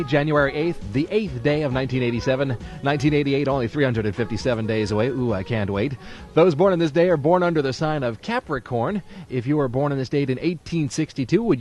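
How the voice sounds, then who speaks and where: A man presents a 1980s radio music show with music behind him. His pitch sits in the middle of the male range, and his delivery is playful and folksy, in classic announcer patter at a studio microphone. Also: darkish tone. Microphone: studio broadcast microphone.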